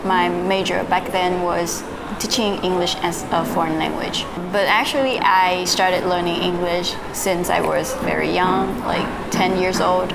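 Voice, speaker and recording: Young Vietnamese woman chatting over noise. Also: on the low side. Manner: relaxed, many fillers and soft laughs. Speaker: guest. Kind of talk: casual interview, recounting her story. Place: live-streamed online call. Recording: audible background noise.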